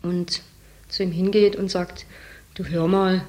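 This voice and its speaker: Frank saleswoman with a flat, lowish voice. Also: unhurried. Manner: frank, candid, with hesitant fillers. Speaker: department store saleswoman. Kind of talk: interview recollection.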